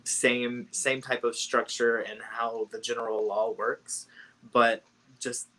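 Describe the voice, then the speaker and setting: A man hosting a casual book chat on a live stream, relaxed and chatty, laughing easily. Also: medium pitch, slow pace, slight background noise, rough recording. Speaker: book discussion host. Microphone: video call.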